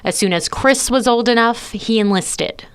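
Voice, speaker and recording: A woman's voice: a little high, normal speed, a little hiss or hum. A woman news reporter telling a story and chatting earnestly in the studio.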